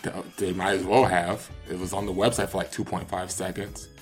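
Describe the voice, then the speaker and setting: Male co-host, slightly low voice, informal banter over faint music. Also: little pitch movement, brisk. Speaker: podcast co-host. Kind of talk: casual banter.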